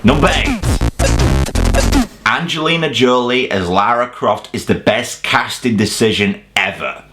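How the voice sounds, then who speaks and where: Animated male video reviewer, jokey delivery. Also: mid-range voice, measured pace, faint music behind. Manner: jokey, casually sweary. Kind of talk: semi-scripted countdown review commentary.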